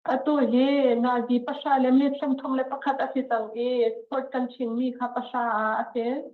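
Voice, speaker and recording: A woman working as a court interpreter over a phone line, speaking with hesitant pauses and fillers. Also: high-pitched, little pitch movement. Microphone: phone call. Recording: tinny echoey phone line.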